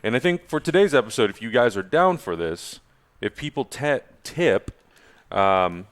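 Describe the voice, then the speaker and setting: A man co-hosting a casual podcast, upbeat and chiming in with quick agreement. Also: medium pitch. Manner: enthusiastic, lively, affirming. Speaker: podcast co-host. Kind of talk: casual chat.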